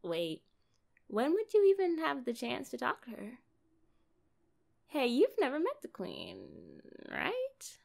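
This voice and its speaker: High-pitched, bubbly young mermaid played by a woman. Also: vocal fry, heavily acted. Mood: curious, cautious. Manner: playful, chatty, expressive, drawn-out phrasing. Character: kind, bubbly, naive young female mermaid. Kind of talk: ASMR roleplay addressed to the listener.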